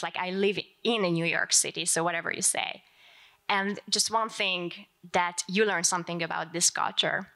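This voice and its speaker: Hungarian-accented woman, bright low voice. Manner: earnest, long-winded question. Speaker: audience questioner. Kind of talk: audience question at a panel event.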